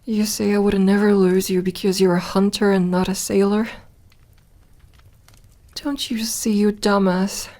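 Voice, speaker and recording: Woman playing a lonely vampire girl, ASMR roleplay, soft, guarded, tender, halting, very unhurried. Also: mid-range voice, little pitch movement, natural voice. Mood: exasperated, worried. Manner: soft, hesitant, halting delivery with sighs. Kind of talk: intimate ASMR roleplay acting.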